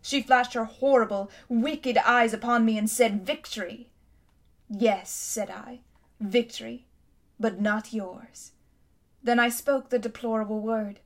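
A woman voicing a cold, imperious tyrant queen in a storybook reading, speaking loudly and grandly. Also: on the high side, steady tone, a little slow. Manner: haughty, commanding, grand.

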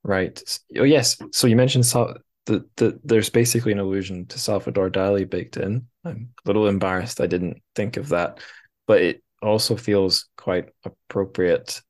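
A man's voice: on the low side, moderate pace, darkish tone. A man hosting a casual interview podcast, musing aloud with hesitant, tentative phrasing. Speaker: podcast host.

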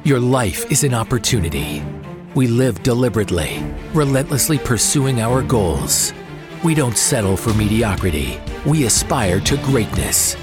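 A man's voice: medium pitch, very animated, moderate pace, over music. A man reading a scripted motivational creed in a declamatory, rallying way as an intro announcer.

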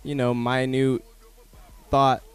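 Smooth-voiced male host, relaxed and affirming. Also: mid-range voice, takes it slowly. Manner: relaxed, affirming, uses "like" and "man". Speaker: interview host. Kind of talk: casual interview.

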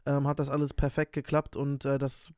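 A young man co-hosting a podcast, energetic and slangy with crude banter. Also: mid-range voice, brisk, no echo, studio quality. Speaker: podcast co-host. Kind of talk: casual chat.

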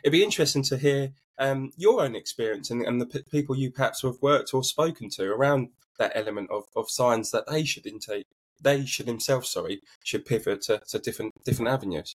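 A man's voice: medium pitch, fairly quick, slightly rough. A man hosting a conversational interview podcast, feeling his way through long, rambling sentences. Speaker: podcast host.

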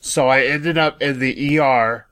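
A man in his late thirties giving a measured personal account on a podcast, in deliberate, orderly phrases. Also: medium pitch, fairly even intonation, fairly bright tone. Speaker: podcast guest sharing personal experience.

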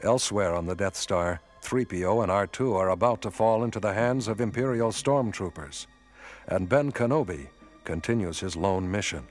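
A man's voice: on the low side, moderate pace, natural voice. A man narrating a space-saga radio drama in a grave, formal, scripted style. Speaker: narrator and credits announcer. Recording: radio broadcast over loud music.

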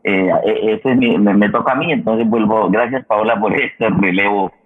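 A man's voice: on the low side, moderate pace. A man with a Colombian accent hosting a programme off the cuff, often repeating words and restarting his sentences. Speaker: host of an online radio programme. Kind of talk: unscripted hosting talk. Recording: tinny, phone-like internet connection.

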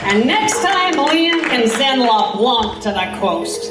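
A woman's voice: fairly high pitch, sing-song, slow. A woman playing an intrepid reporter, giving dramatic first-person narration of her adventure in an audio drama. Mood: wry, reflective. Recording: roomy, slightly distant, music underneath.

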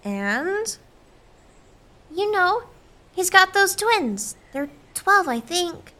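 Actress as high, bright small-town woman. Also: very animated, very slow, full character voice. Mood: flustered, chatty. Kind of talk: radio play acting.

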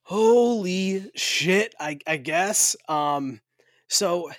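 A man's voice: high-pitched, lively intonation, extremely slow, a bit bright, dry recording, studio quality. A man co-hosting a podcast in casual banter, crude and self-mocking, laughing often. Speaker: podcast co-host and author.

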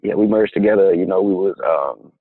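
A man's voice: deep voice, a little fast, slightly rough, dry recording. A man from Dallas, a musician guest in a casual interview, talking in a laid-back, easygoing way. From Dallas, Texas. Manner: laid-back, easygoing, lots of "you know". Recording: tinny phone line.

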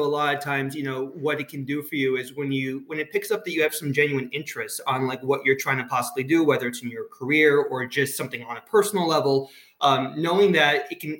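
A man's voice: on the high side, bright, forceful tone. Man, New York accent, rambling, fillers, echoey room. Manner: friendly, rambling, many "like" and "you know". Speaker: guest colleague. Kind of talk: casual conversation.

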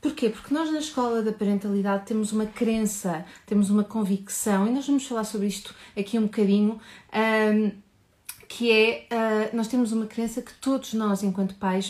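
A woman from Portugal with a European Portuguese accent opens a live stream in a warm, eager voice. Her pitch sits mid-range, and her speech races ahead before she eases off to explain things clearly. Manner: warm, enthusiastic, talks fast and slows to explain. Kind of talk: animated live stream welcome.

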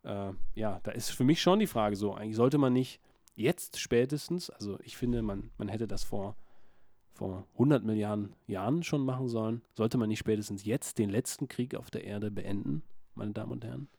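A man's voice: lowish voice, moderate pace, vocal fry, a little mellow, no echo, clean studio sound. A man chatting casually and ironically, often drifting into mock-serious rants. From Berlin. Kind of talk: joking casual chat.

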